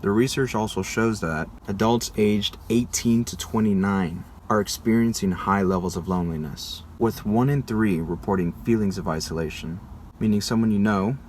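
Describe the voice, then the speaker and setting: A man's voice: fairly low pitch, normal speed, a little mellow, a little hiss or hum. A young American man presenting to viewers in an informal, conversational way, like a casual vlog narration. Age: young adult. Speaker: video creator presenting a school project.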